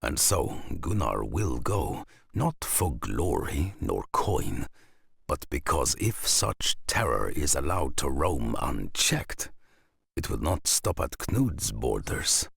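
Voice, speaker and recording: A man playing a grave, weathered storyteller in a video game, narrating a saga solemnly with a gravelly, husky voice. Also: extremely low pitch, big pitch swings, slow, a bit bright, dry recording, clean studio sound, exaggerated voice. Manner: solemn, portentous. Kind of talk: dramatic scripted saga narration.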